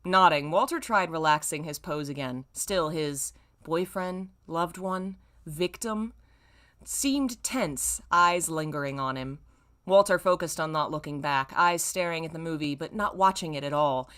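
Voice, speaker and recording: A young woman with a crisp, bright voice that sits a little low reads a story aloud. She plays it up theatrically and breaks into casual, mocking commentary in an exasperated, playful way. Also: unhurried. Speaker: host reading old fan fiction aloud with commentary. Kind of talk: dramatic read-aloud with mocking, casual asides.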